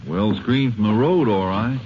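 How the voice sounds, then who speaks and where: An actor playing an easygoing ranger sidekick in a 1950s radio drama, in a dull, noisy old recording. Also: male voice, on the low side, a little slow, natural voice. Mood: casual.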